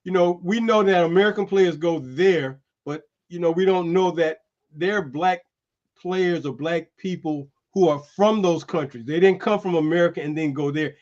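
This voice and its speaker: Chatty man with a slightly high voice. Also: moderate pace, darkish tone, muffled recording. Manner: loose and chatty, says "man" a lot. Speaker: host. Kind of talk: casual chat and merchandise pitch. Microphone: streaming setup.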